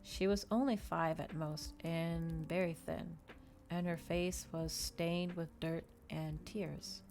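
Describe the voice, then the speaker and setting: Low-pitched woman reading a story, ASMR style. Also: fairly even intonation, slow, a little mellow. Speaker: streamer reading aloud. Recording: clear background ambient noise.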